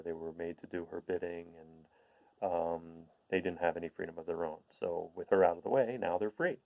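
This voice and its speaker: A man reading a storybook aloud as a host, in an expressive, explanatory manner. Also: sits low, gravelly, phone-quality sound. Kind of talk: expressive storybook read-aloud with informal introduction.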